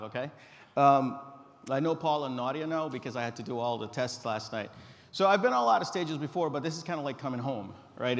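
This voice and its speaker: A middle-aged man from Guyana giving an informal live stage presentation in a relaxed, direct, joking manner. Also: mid-range voice. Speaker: company founder presenting a tech demo. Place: conference stage in a large reverberant hall. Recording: echoey hall through a stage PA.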